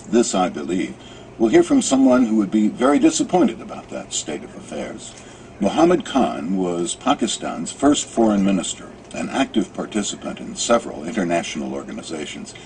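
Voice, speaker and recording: A man's voice: deep voice, darkish tone, a little hiss or hum, rough recording. An American man presenting scripted narration as a radio host. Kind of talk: scripted narration introducing a series.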